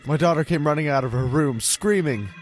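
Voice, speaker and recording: A man's voice: fairly high pitch, brisk, slight background noise, voice pushed up, lightly acted. A man playing a haunted husband and father, narrating a first-person horror story in an ominous, earnest and theatrical manner. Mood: panicked. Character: haunted husband and father confessing a terrifying ordeal. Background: background music.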